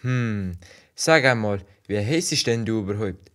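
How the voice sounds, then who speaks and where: A man's voice: on the low side, lively intonation, a little slow, fairly bright tone. A young male performer playing a sceptical village lad with a Valais Swiss German accent in an amateur radio play. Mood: patient, sceptical.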